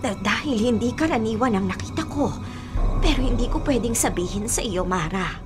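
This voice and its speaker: A woman's voice: fairly high pitch, lively intonation, normal speed, natural voice. A woman acting an ominous, secretive old Filipino grandmother seer in a radio drama, with music behind. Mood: grave, foreboding. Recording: music bed under the dialogue.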